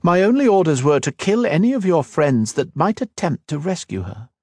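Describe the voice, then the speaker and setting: A man voicing a shapeshifting creature that has taken the form of an elf woman, speaking in a soft, measured, coaxing way. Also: on the high side, very animated, no room sound, pitched above the performer's own voice. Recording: dry close studio read.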